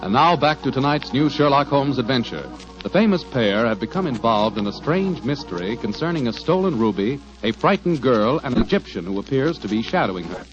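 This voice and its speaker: A man's voice: medium pitch, expressive, a little fast. An American man announcing and selling on 1940s radio with folksy salesman patter. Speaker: radio announcer and sponsor pitchman. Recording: muffled 1940s radio recording. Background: music.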